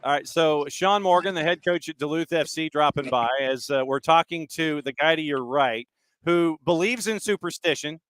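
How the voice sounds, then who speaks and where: A man's voice: fairly high pitch, moderate pace, fairly bright tone, smooth, no echo, poor audio. A man hosting a podcast and interviewing a guest, asking long, reflective questions. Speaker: podcast host. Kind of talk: casual interview.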